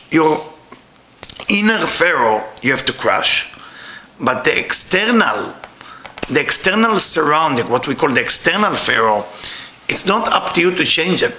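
A lively, bright-voiced man with an Israeli accent lectures a live audience, his pitch swinging widely and climbing whenever he mimics the people he quotes. He is middle-aged, his English loose in grammar. The sound rings around a roomy hall. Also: a little hiss or hum. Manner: animated, leaps high to act out quoted people, non-native grammar.

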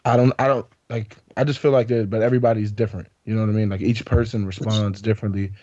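Mellow, low man in a dry room. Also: level tone, quick pace, dry recording, muffled recording. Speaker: podcast co-host. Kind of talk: casual chat.

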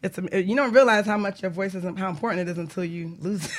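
A woman's voice: mid-range voice, fast talker. Bubbly, laughing woman co-hosting a radio talk show. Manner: bubbly, laughs often, self-joking. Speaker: life coach and radio co-host. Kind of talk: casual radio chat.

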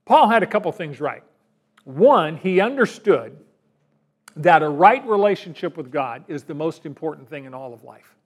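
A man with a high-pitched voice delivers a sermon. His preaching is emphatic and keeps turning to the congregation with rhetorical questions. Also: expressive, normal speed. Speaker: pastor.

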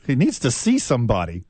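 A man's voice: medium pitch, mellow, breathy edge, dry recording. A man co-hosting a comedic sports radio chat, throwing in dry quips. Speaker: co-host.

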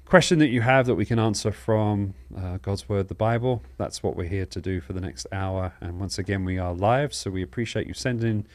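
Low-voiced man hosting a live show. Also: fairly quick, hardly any pauses, darkish tone. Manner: welcoming, informal, explanatory. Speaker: host of a live Bible question show. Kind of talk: chatty live broadcast hosting, announcements.

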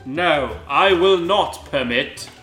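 A man doing a grave, slow heroic wizard. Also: medium pitch, pushed and bright, pitched below the performer's own voice. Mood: urgent, commanding. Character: solemn, heroic, protective male wizard. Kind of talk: mock-dramatic storybook acting. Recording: roomy echo with music behind.

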